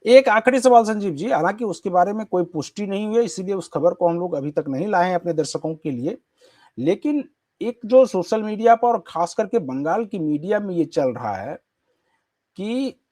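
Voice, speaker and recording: Male news host w high voice, long roundabout questions, dry close sound. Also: expressive, normal speed, mellow, dry recording. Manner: long winding questions with careful qualifications. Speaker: news show host, interviewer. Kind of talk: live news interview questioning.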